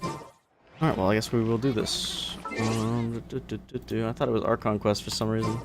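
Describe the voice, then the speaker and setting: A man's voice: on the low side, steady tone, normal speed, creaky. A man giving casual livestream game commentary, informal and self-deprecating as he thinks aloud. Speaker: gaming livestreamer. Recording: music playing behind the voice. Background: music.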